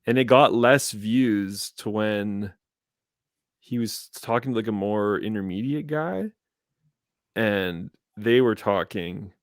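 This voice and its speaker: A man in his thirties, a school teacher, chatting as a podcast co-host and telling stories at a relaxed, drawn-out pace. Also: on the low side, dry recording, clean studio sound. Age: 30s. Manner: relaxed, drawn-out storytelling, switching into Spanish. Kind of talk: casual chat.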